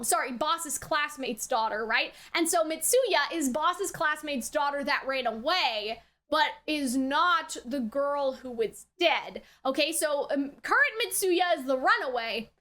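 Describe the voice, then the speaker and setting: A woman's voice: high voice, a bit bright. A woman hosting a reaction video, thinking through her theories aloud in a casual, rambling way and often checking that the listener follows. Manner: rambling, theorising aloud, frequently checks with "right?" and "you know". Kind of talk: casual unscripted anime review commentary.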